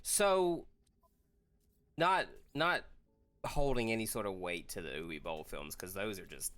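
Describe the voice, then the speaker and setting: A man co-hosting a casual discussion in a measured, thoughtful way, often qualifying what he says. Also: on the low side, a bit bright, soft background music. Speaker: podcast co-host. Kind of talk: casual discussion of films and games.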